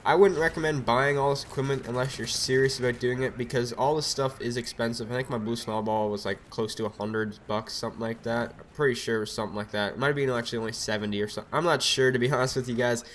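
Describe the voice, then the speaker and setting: A young man with a cold, his voice a bit raspy, talking loosely over his gameplay on a desk mic at home, rambling with lots of fillers. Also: medium pitch, steady tone, fast talker, few pauses, fairly bright tone, slight background noise. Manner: rambling, filler-heavy, laid-back. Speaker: gameplay commentator. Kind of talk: casual gameplay commentary. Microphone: USB desk mic.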